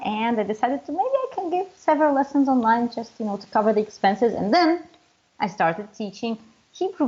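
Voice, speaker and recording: A woman's voice: a little high, darkish tone, lo-fi sound. A woman, a Hebrew teacher answering interview questions hesitantly with many restarts. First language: Russian. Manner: hesitant, many restarts and fillers, laughs easily.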